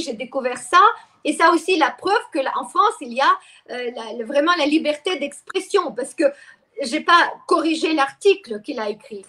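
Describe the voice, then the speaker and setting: A woman's voice: high-pitched, pushed and bright. A middle-aged woman, talking at length as an interview guest, with many restarts and fillers. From Ukraine. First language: Russian. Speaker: guest expert. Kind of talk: interview conversation.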